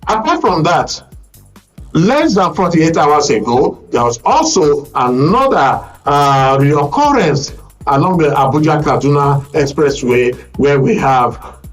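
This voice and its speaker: A man's voice: a little high, very animated, measured pace, poor audio. Nigerian male talk show host over music. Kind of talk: current affairs programme presenting.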